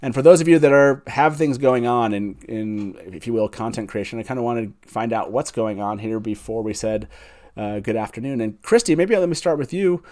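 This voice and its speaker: A man with a mid-pitched voice hosts a casual live online panel. He talks informally, in a self-deprecating way, like a host chatting with guests on a stream. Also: fairly quick, a little mellow. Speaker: host of a fan show. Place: online group video call, live stream.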